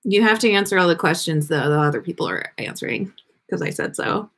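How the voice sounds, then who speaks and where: A woman hosting a livestream in a playful, expressive manner. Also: fairly low pitch, brisk, husky. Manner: playful, sweary, teasing, expressive. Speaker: podcast host reading fan fiction on a livestream. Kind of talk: casual livestream banter.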